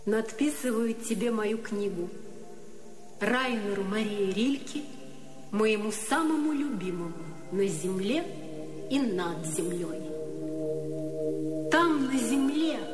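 A woman's voice: mid-range voice, lively intonation, slow pace, long pauses, reverberant, over music. An actress playing a passionate, proud, tormented woman poet in a radio play, declaiming lyrically. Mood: tender longing. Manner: dramatic, lyrical verse declamation. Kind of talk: theatrical poetic radio play acting.

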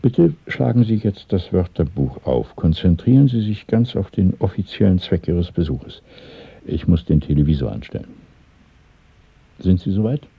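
An actor playing a grave, authoritative conspirator in a radio drama, speaking formally and deliberately as he questions a recruit. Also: low-pitched, lively intonation, gravelly, husky, no room sound, dull audio, full character voice. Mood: commanding. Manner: formal, deliberate, coolly interrogating. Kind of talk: 1970s German radio drama acting. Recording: thin, band-limited old radio play recording.

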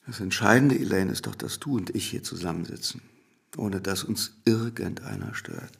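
A wry, impatient lawyer in a radio play, with a low, very gravelly voice. He needles and presses in a conversational way, husky at the edges. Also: moderate pace, heavily acted. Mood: teasing, insistent. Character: wry, impatient lawyer courting a married woman. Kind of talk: German radio drama acting.

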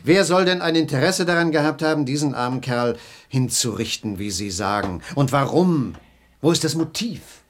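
An actor playing a skeptical, impatient police inspector in a German radio play, blunt and questioning. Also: male voice, medium pitch, expressive, moderate pace, natural voice. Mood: exasperated.

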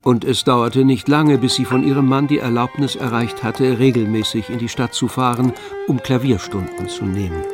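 A man narrating a literary radio play in a composed, measured manner. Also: mid-range voice, steady tone, over music, lightly acted.

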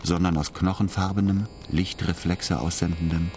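A man's voice: sits low, fairly even intonation, normal speed, a little mellow, a little hiss or hum. A man narrating a radio drama in a scripted, literary storytelling style. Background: music.

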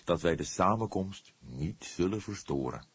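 Guy doing a calm old police detective for an audiobook, low n husky, slow polite sly delivery. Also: no room sound, exaggerated voice. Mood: solemn, mock innocent. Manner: measured, solemn, feigned innocence, hesitant pauses.

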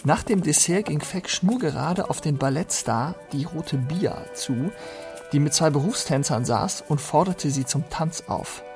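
A man's voice: fairly high pitch, lively intonation, measured pace. A man delivering scripted literary narration in a radio play. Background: music.

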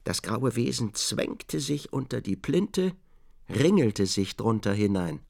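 A man narrating a German radio drama in a measured, observant literary style. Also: lowish voice, very animated, creaky, a little mellow, exaggerated voice.

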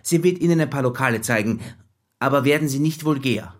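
A man's voice: mid-range voice, fairly quick, lightly acted. An actor playing a brusque, bossy male superior giving curt orders in a radio drama. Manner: curt, commanding. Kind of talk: radio crime drama acting.

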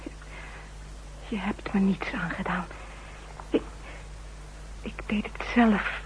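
Breathy, fragile actress as a frightened young woman. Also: mid-range voice, fairly even intonation, slow pace, lightly acted. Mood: faint, tearful. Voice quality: breathy, whispery. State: faint and tearful. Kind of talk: 1960s Dutch radio drama acting. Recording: noisy, humming 1960s radio recording.

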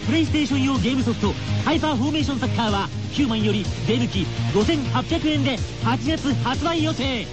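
A man's voice: very high-pitched, animated, quick pace, few pauses. A man reading a scripted 1990s radio commercial for a video game in a punchy, emphatic announcer style. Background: prominent music.

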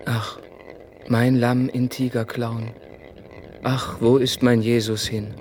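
Slow, subdued lamenting man actor. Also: mid-range voice, level tone, darkish tone, lightly acted. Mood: anguished. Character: anguished believer searching for the lost Jesus. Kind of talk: poetic radio drama recitation. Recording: noisy ambience behind the voice.

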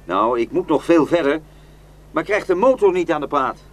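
An actor in a radio drama playing a polite, matter-of-fact traveller stranded on the road. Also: male voice, a little high, lively intonation, normal speed. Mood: polite, friendly.

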